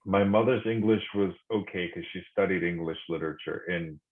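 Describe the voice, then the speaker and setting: A middle-aged man from Korea reflecting in conversation, speaking thoughtfully with many pauses and restarts. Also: sits low. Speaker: podcast guest. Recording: phone-like narrow sound.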